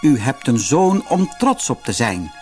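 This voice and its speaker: A man's voice: medium pitch, big pitch swings, measured pace, darkish tone, soft background music. A man voicing a kindly, earnest good fairy in a storybook, solemn and reassuring. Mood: kind, approving. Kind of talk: fairy-tale storybook reading.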